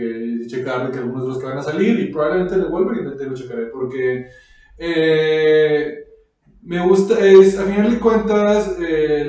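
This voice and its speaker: A man co-hosting a casual podcast, haltingly rephrasing as he thinks aloud. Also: a little high, dark tone. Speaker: podcast co-host. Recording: very echoey room, far from mic.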